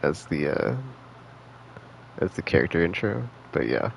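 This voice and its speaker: A low-pitched man with a creaky, fried edge streams a game. He talks casually and loosely, circling back as he thinks aloud, with faint noise behind him. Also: big pitch swings, measured pace, rough recording. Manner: rambling, hesitant, slangy, thinking aloud. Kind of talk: casual live stream commentary.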